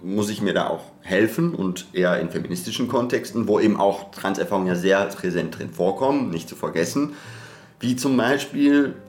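Male podcast co-host, lowish pitch, academic and searching, self-correcting, echoey room. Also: expressive, a little fast, darkish tone. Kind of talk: reflective podcast discussion. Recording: roomy echo, background guitar music.

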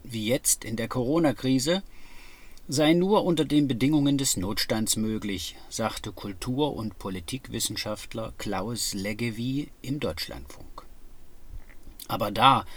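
Husky, sober news-post voice. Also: male voice, medium pitch. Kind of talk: scripted news-post reading.

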